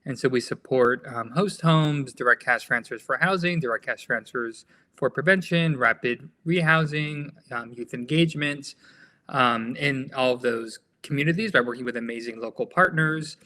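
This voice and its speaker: An American man who founded a nonprofit, answering interview questions in a measured way with frequent pauses. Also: medium pitch, sharp tone. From United States.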